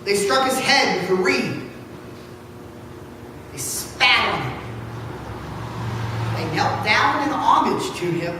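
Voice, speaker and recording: A pastor, a man, gives a dramatic scripture reading with a theatrical, deliberate, projected delivery in a church. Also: high voice, expressive. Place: large church interior. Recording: echoey church hall from far off, noisy.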